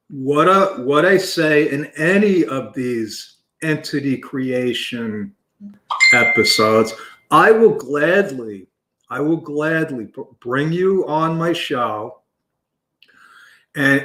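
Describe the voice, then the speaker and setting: A man hosting a business show, talking loudly and passionately with emphatic restarts. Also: medium pitch, reverberant. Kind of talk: unscripted talk to camera.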